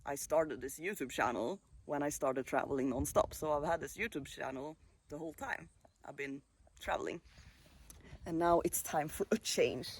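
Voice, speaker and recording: A man in his late twenties, originally from Sweden, speaks to his phone camera at a countryside caravan site. His voice sits a little high for a man, and he talks in a laid-back, wandering way, pausing and filling gaps with small hesitations. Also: husky. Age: late 20s. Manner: relaxed, musing, with fillers and pauses. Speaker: travel vlogger. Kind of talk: casual vlog monologue.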